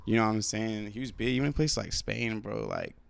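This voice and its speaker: A man's voice: on the low side, wildly swooping pitch, a little fast, vocal fry. A man in his twenties, born in South Africa and raised in London, chatting casually as a rapper guest, laid-back and full of fillers. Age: 20s. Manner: laid-back, lots of "like" and "you know what I'm saying". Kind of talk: casual interview chat.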